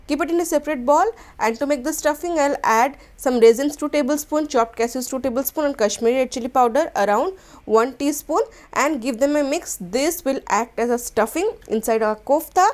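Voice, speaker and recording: A woman's voice: sits high, brisk. Woman explaining a recipe. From India. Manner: step-by-step instructional, gentle, with small restarts. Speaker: cooking channel host. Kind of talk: cooking demonstration voice-over.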